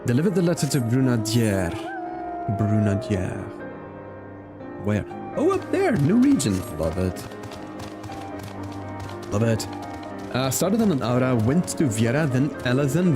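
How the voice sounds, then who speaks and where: A man's voice: mid-range voice, very animated, a little slow, long pauses. A man livestreaming a video game, chatting in an easygoing way and reacting aloud to the game and his viewers. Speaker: game streamer. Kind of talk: casual livestream chat while playing. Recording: music behind the voice. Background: game music.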